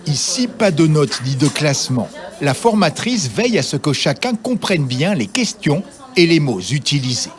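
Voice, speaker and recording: A man's voice: on the high side, sing-song, moderate pace. A man narrating a scripted television news report as the reporter.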